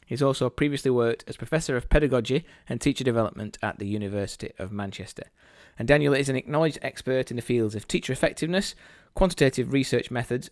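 A man hosting an education podcast, introducing a guest and asking questions in an upbeat, conversational way. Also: mid-range voice. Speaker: podcast host. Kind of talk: podcast interview.

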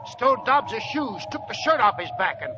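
An actor playing a seasoned old American gold prospector, folksy and wry, in 1940s radio drama style. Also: male voice, extremely high pitch, very animated, normal speed. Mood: laughing bitterly. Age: older. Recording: dull, noisy old radio recording.

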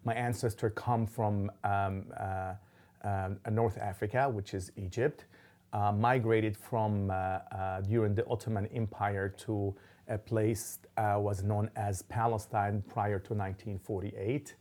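A man's voice: fairly low pitch, steady tone, a little mellow. A middle-aged man from Lebanon, an imam speaking as a guest in an interview, with frequent ums and restarts. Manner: halting, many ums and restarts. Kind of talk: interview conversation.

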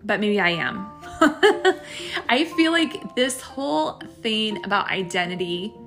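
Middle-aged woman, podcast host, loose rambling chat with fillers, boom mic, soft music bed. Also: animated, takes it slowly. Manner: chatty and self-deprecating, with many fillers and laughs. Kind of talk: casual solo podcast chat. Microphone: boom microphone.

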